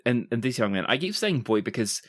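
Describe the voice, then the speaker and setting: A man's voice: medium pitch, very animated, fairly quick. A man improvising narration as the game master of a tabletop roleplaying podcast.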